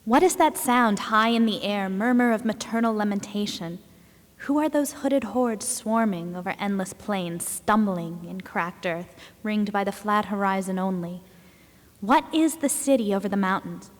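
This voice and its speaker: A woman giving a dramatic poetry recitation in a deliberate, solemn manner. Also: medium pitch. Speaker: reader. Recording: reverberant hall recording.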